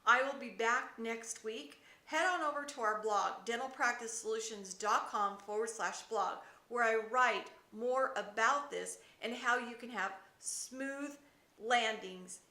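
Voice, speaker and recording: A woman speaks in a fairly high, bright voice with a clear echo from the room around her. She presents advice like a company head hosting her own video series. Her delivery is deliberate and presentational, with rhetorical questions aimed at the viewer. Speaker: company CEO and video host. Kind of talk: scripted advice vlog to camera. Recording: echoey room.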